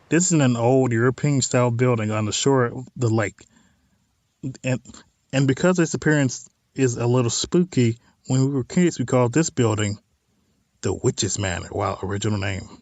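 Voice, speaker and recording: A man's voice: mid-range voice, moderate pace, no room sound. A man hosting a let's play of a visual novel, reading text aloud and adding informal, wry asides. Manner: informal, wry side comments on what is read.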